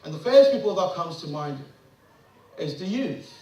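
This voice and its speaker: A man's voice: fairly high pitch, big pitch swings, normal speed, dark tone. A middle-aged man from Ghana, giving an impassioned public address full of rhetorical questions that rise to emphatic peaks. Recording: very echoey room, mic from a distance.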